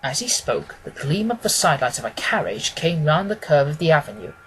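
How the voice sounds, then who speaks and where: A man narrating a classic story as a scripted audiobook, in a measured, literary storytelling manner. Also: on the high side, very animated, fairly bright tone, a little hiss or hum, rough recording.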